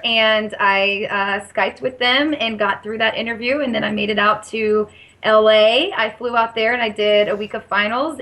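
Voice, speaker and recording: Bright, raspy-voiced woman guest. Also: on the high side, rough recording. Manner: eager, chatty storytelling with quoted asides. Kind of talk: casual podcast interview.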